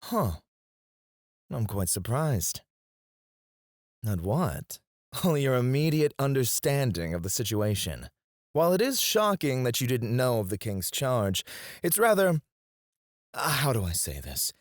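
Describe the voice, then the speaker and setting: A man's voice: mid-range voice, big pitch swings, measured pace, pauses often, no echo, studio quality. An actor playing a haughty, sarcastic, prickly and flirtatious male court alchemist in an ASMR fantasy roleplay, with a sardonic drawl. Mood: sly, amused, awkward. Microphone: close studio mic, dry.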